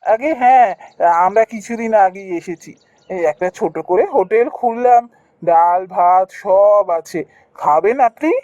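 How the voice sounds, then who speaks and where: A woman's voice: mid-range voice, unhurried, warm tone, no room sound, close to an everyday voice. A woman voicing a polite, hesitant young newlywed husband in a Bengali cartoon story. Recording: dull cartoon audio with music.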